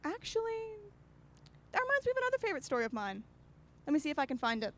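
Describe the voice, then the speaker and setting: A woman's voice: high voice, big pitch swings, quick pace, fairly bright tone, a little hiss or hum. A woman playing a reflective, whimsical storytelling host, narrating a radio anthology in a musing, theatrical way. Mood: intriguing.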